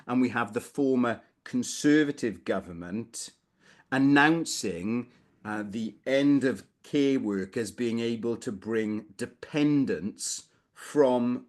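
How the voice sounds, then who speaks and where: A middle-aged man from Wales giving a conversational legal lecture over a video call, informal with bursts of emphasis. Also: medium pitch. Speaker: barrister presenting a legal webinar. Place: small room indoors, video call.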